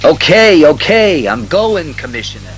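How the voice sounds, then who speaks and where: Dim sceptical police sergeant, blunt and grumbly, slightly high voice that swings around, radio drama. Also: male voice, big pitch swings, unhurried, loud music behind the voice. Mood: grudging. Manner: blunt, colloquial. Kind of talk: superhero radio drama acting.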